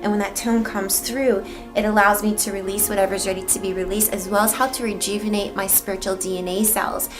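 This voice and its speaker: A woman's voice: flat delivery, fairly quick, over music, low-quality recording. Effusive woman gushing about a healing session. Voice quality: high-pitched, breathy and effusive. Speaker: show host interviewing a healer. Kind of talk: gushing spiritual testimonial.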